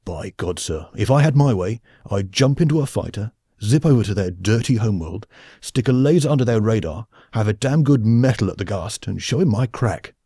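A male audiobook reader voicing an eager, earnest young male space officer who speaks in an excitable, old-fashioned way, in a storybook reading. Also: on the low side, normal speed, breathy edge, no room sound, clean studio sound. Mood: indignant.

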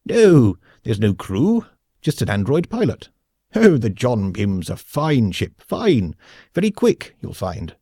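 A male audiobook reader voicing a big, slow-moving, pompous male office boss who blusters in an old-fashioned way, in a storybook reading. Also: medium pitch, huge pitch swings, warm tone, breathy edge, dry recording, studio quality, exaggerated voice. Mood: blustering.

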